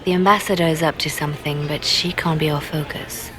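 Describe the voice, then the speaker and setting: An actress playing a shrewd, guarded, businesslike spymaster in a fantasy video game. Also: female voice, deep voice, fairly even intonation, brisk, music in the background, close to an everyday voice. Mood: guarded, intent.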